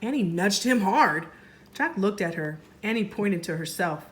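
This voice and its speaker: A woman teacher reading a story aloud to young children in an expressive way, pausing to explain and ask questions. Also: medium pitch. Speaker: first grade teacher.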